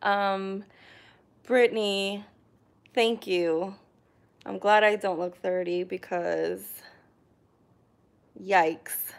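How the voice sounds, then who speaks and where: A young woman from New York chats unhurriedly to her viewers from her living room, filling pauses with ums. She is recorded by a camera on a tripod, and her pitch sits in a middle range. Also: fairly even intonation, vocal fry. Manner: chatty, hesitant, frequent ums and laughs. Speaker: vlogger reading live chat comments. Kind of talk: casual live stream chat.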